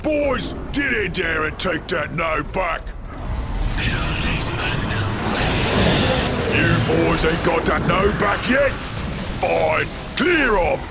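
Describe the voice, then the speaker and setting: An actor voicing a brutish, bossy male ork warboss in a video game, with a gravelly Cockney accent, barking orders with bluster. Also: on the high side, very animated, slow, loud music behind the voice, heavily acted. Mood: indignant, bossy.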